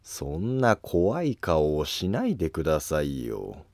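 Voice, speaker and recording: A man's voice: a bass voice, wildly swooping pitch, a little slow, creaky, darkish tone, dry recording, voice pushed down, heavily acted. A man voicing a sly scheming court nobleman in a historical cartoon drama, with mock-courteous, needling politeness. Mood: smugly polite. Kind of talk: Japanese historical animated drama acting.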